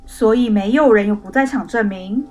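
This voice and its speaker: A woman playing a cool, determined young student who questions people in a pointed, deliberate way in a mystery radio drama. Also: mid-range voice, natural voice. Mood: probing. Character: cool, determined young female student investigating her attack.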